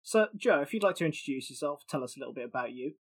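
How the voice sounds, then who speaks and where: A man's voice: on the high side, animated, very fast, slightly rough. A man hosting a podcast and asking interview questions in a conversational way.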